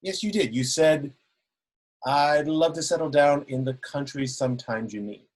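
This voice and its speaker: A man's voice: fairly high pitch, moderate pace, close to an everyday voice. A man reading a jovial, evasive police sergeant, hesitant with many restarts. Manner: hesitant, lots of ums and restarts.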